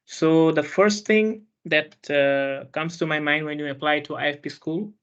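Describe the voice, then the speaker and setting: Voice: mid-range voice, unhurried, smooth. Student host running an online panel, lots of uhs and restarts, kinda muffled call sound. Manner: hesitant, many fillers and restarts.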